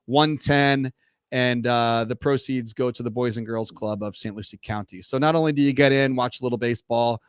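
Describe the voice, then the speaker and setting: A man's voice: medium pitch, steady tone, moderate pace, clear, resonant tone, no echo. Host, male, casual rambling chat, voice worn from a cough, dry studio sound. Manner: rambling, laid-back, many fillers. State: recovering from a cough. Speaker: podcast host. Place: podcast studio.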